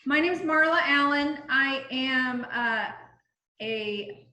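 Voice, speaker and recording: A woman's voice: sits high, slow pace, sharp tone. Chatty middle-aged woman on a roomy call. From Southern California. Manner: chatty and informal, with many ums and restarts. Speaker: direct-sales cooking consultant. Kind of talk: casual product demonstration over a video call. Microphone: video call. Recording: roomy video-call audio.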